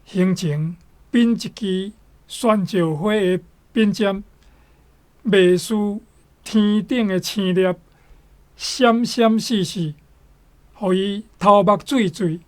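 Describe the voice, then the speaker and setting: A radio presenter introducing and reading literary passages in a measured way, phrase by phrase. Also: medium pitch, a little mellow. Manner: deliberate, phrase by phrase.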